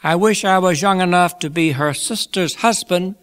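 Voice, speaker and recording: A man voicing a genial, effusive old gentleman from a classic storybook, making toasts in an emphatic, oratorical manner. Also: sits high, moderate pace, smooth, pitched above the performer's own voice. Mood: heartfelt, celebratory. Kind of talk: dramatised classic novel reading.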